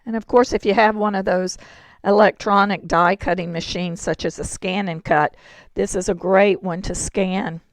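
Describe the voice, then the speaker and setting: A woman with a hoarse voice, explaining a card-making project step by step in a chatty way. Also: medium pitch, fairly even intonation, normal speed, no echo. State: hoarse, throat-clearing. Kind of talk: crafting tutorial.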